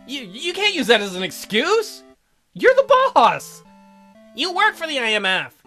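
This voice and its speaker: A woman's voice: high-pitched, wildly swooping pitch, unhurried, a bit bright, soft background music, full character voice. A woman voicing a zealous, angry young female vigilante in a visual novel, preaching and giving bossy orders. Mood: accusing.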